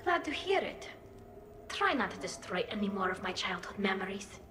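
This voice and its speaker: An actress playing an earnest, playful young female alien in a video game, with a wistful, gently scolding manner. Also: mid-range voice, very animated, moderate pace, reverberant, a little hiss or hum. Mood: mock scolding.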